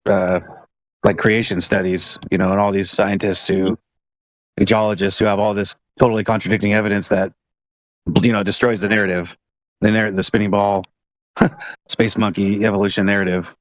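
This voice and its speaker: A man's voice: lowish voice, little pitch movement, moderate pace, pauses often, fairly bright tone, dry recording, rough recording. A man in casual conversation who talks hesitantly with many fillers and swears bluntly.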